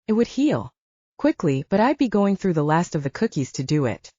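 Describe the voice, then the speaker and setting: Lively, low-pitched woman narrating fiction. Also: normal speed, no echo, lo-fi sound. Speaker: audiobook narrator. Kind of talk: dramatic scripted fiction narration.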